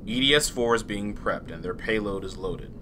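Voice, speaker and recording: A man's voice: lowish voice, expressive, moderate pace, noisy background, natural voice. A man playing a clipped, businesslike space transport commander in an audio drama. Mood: businesslike, serious.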